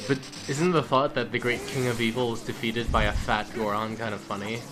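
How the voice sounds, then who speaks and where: A man's voice: mid-range voice, steady tone, brisk. A man co-commentating on gameplay in casual, wry, teasing chat, with game music behind him. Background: video game music.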